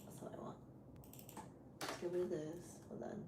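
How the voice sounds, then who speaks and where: A woman live streamer with a deep voice, chatting casually with her viewers while she sets up a game. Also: little pitch movement, normal speed. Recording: echoey room with loud background noise.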